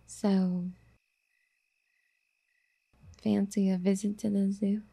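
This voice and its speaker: Casting: female performer as obsessive, doting yandere girlfriend for ASMR audio drama. Very soft, mellow, breathy delivery, affectionate and coaxing with a possessive streak. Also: mid-range voice, little pitch movement, unhurried. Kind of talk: soft intimate ASMR roleplay.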